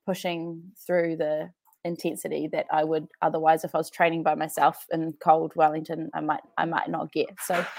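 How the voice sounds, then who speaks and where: A woman's voice: low-pitched, vocal fry, dark tone. A young woman with a New Zealand accent, chatting as a podcast guest, often laughing and restarting her sentences. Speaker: podcast guest, CrossFit athlete. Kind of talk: casual interview chat.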